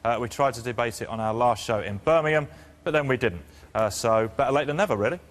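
A man hosting a studio debate, steering the talk briskly between guests. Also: medium pitch, animated. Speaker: debate show host.

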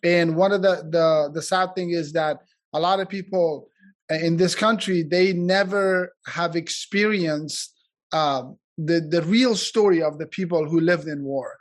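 Earnest halting man, slightly high voice. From Afghanistan. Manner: halting, many restarts and fillers, earnest. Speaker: community speaker. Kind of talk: unscripted speech at a community event.

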